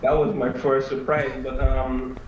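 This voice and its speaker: A man's voice: medium pitch, flat delivery, unhurried, dull audio. A man in his late twenties from Guyana with a Guyanese accent, answering interview questions hesitantly with many ums and restarts. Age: late 20s. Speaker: interview guest, youth movement founder. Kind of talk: remote interview. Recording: echoey room with clear background noise.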